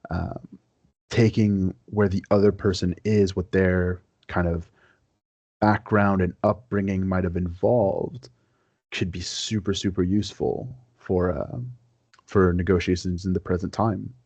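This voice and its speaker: A young man from Quebec whose first language is French, talking as a guest historian in a casual podcast interview, earnest and relaxed with many fillers and repeated words. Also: deep voice, unhurried, slightly rough, no echo, muffled recording.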